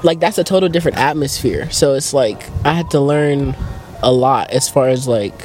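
A man's voice: mid-range voice, normal speed, darkish tone, soft background music. A man as a podcast guest, talking hesitantly with many fillers while recalling his past. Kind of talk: casual podcast chat.

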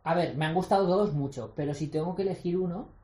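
A man's voice: a little high, fast talker, dark tone, echoey room. A man co-hosting a casual podcast chat, speaking frankly and swearing freely. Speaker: podcast co-host. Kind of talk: casual chat among friends.

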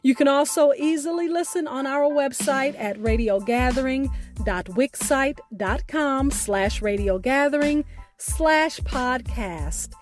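A woman hosting a podcast, reading a scripted sign-off in a deliberate, emphatic way. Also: high voice, soft background music. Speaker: podcast host.

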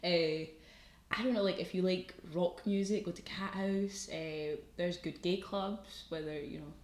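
A woman's voice: lowish voice, steady tone, unhurried, darkish tone, roomy sound. A young woman with a light Scottish accent, chatting as a student guest on a podcast, laughing often and using lots of fillers. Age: young adult. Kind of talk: casual podcast chat.

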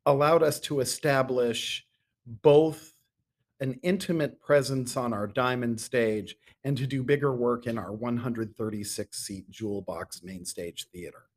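A middle-aged man answering interview questions as a guest, measured and given to emphatic repetition. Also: mid-range voice, steady tone. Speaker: interview guest, theater artistic director.

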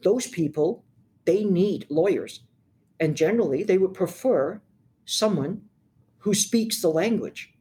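An older woman from Hong Kong speaks as a seasoned lawyer in an interview. She answers at a measured pace, frank and direct, and lands her key points with emphasis. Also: fairly low pitch, expressive, dark tone.

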